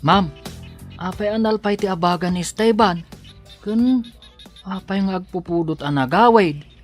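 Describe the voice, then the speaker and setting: A man's voice: high-pitched, measured pace, faint music behind. An actor with a Filipino accent playing a humble, devoted young suitor pleading his love in a radio drama. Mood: anxious, relieved. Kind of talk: Ilocano radio drama acting.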